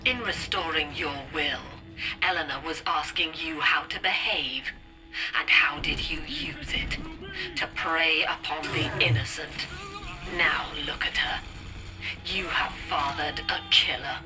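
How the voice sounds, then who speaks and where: Game villain: controlling middle-aged mother, cold and accusatory, unhurried measured speech, prominent score behind. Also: deep voice, poor audio. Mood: cold accusation. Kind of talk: dramatic video game acting. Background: music.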